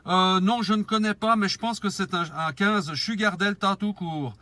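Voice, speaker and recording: A man's voice: sits high, moderate pace, bright, forceful tone, dry recording. A man talking as a mobile radio operator, cordially trading call signs and signal reports.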